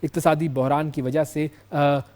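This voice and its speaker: A man's voice: on the high side, steady tone, measured pace, a little mellow. A man with a Pakistani accent hosting a news show, explaining stories with emphasis and repeating key phrases. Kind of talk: news presentation with explanatory commentary.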